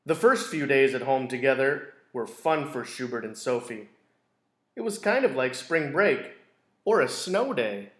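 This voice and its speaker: Man, children's read-aloud, deliberate and expressive, mid-pitched voice, roomy echo. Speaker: school read-aloud storyteller. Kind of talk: reading a children's picture book aloud. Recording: roomy, echoey indoor sound.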